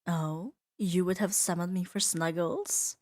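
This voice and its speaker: Slow, teasing demon mistress, played by a woman. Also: lowish voice, steady tone. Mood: confiding, fond. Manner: slow, teasing, soothing, softly dominant. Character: seductive, possessive female demon doting on her new pet. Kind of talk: intimate ASMR roleplay monologue.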